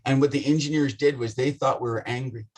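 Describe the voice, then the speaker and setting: A man with a rough voice talking passionately and bluntly in a casual business discussion, swearing often. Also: medium pitch, fairly even intonation, brisk, darkish tone.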